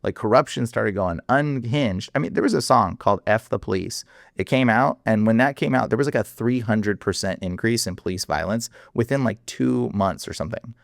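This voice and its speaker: A man with a very low voice speaks as a guest in a casual interview. He talks in an animated, emphatic way, piling up fillers. The recording is dry and close. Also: normal speed, vocal fry, dry recording.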